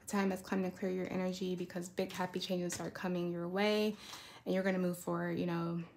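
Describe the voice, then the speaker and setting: A woman's voice: fairly low pitch, level tone, brisk, vocal fry, a little mellow, a little hiss or hum. A woman giving a casual, rambling tarot card reading in a conversational way, often checking in with the listener. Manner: conversational, rambling, checks in with "right?" and "you know". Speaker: tarot reader.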